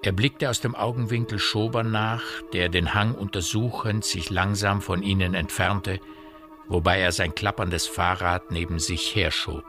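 An actor as a measured narrator, delivering scripted literary narration in a radio drama. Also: male voice, deep voice, fairly even intonation, music in the background.

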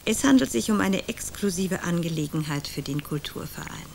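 An actress playing a theatrical older woman, a former celebrated opera singer, who speaks grandly and drops into hushed whispers. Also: fairly low pitch, animated, moderate pace, creaky, a little hiss or hum. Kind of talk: radio drama acting.

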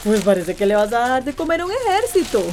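A feisty Costa Rican aunt with a high, very animated voice. She is teasing and bossy, a joker in a radio soap. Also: fast talker, noisy background. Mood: joking. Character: feisty, joking aunt of a pregnant girl. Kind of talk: radio drama acting.

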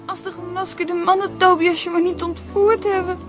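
A woman's voice: very high voice, little pitch movement, moderate pace, loud music behind the voice. An actress playing a spirited young girl, urgent and anxious about her missing pet.

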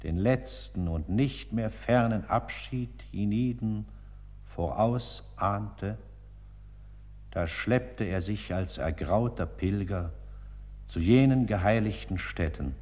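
An actor as a solemn, devotional narrator in a radio play, reading scripted prose with reverence. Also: male voice, lowish voice, slow pace, darkish tone. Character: solemn, devotional male storyteller. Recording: long hall-like reverb.

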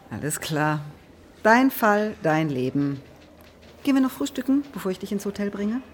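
An actress playing a frank, sceptical police colleague in a crime radio drama, probing with wry concern. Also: female voice, medium pitch, very animated, unhurried. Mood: wry, resigned. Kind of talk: naturalistic German crime radio drama acting. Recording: faint background noise.

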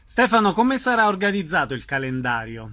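Male radio presenter, forceful bright tone, slightly high pitch, scripted intros and questions. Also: very animated, brisk. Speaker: radio host.

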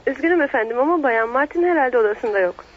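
An actress playing a polite, efficient telephone operator in a radio play, heard down a phone line. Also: female voice, high voice, animated, fast talker. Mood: polite, apologetic. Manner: formal, apologetic service tone. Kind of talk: Turkish radio theatre acting. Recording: dull, faintly noisy telephone sound.

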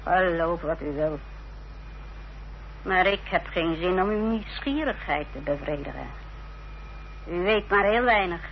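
Haughty, creaky-voiced bitter mother. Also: on the low side, expressive. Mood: cold, scornful. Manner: curt, contemptuous, measured delivery. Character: bitter, haughty, secretive older mother, cold and scornful. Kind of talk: radio drama acting. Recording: dull, noisy old radio-play recording with hum.

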